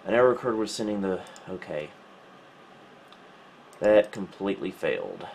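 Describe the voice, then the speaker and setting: A man with a fairly low voice hosts a casual tech video from a small room at home. He thinks aloud in a wry, self-deprecating way while troubleshooting. There is clear noise behind his voice. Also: normal speed, a little mellow. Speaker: host of a retro computing video.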